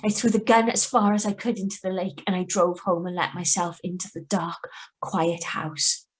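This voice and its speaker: A woman playing a young wife who calmly confesses to murder in a live reading of a radio thriller. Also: lowish voice, normal speed, lightly acted. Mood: calm, confessional. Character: young wife Patrice, calm remorseless killer confessing. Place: video call reading from home.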